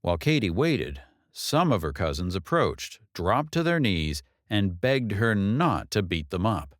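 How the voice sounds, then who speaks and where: Low male audiobook narrator, reads a story with lots of pitch movement, really dry close sound. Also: big pitch swings, unhurried, no room sound. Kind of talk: scripted narration of a novel.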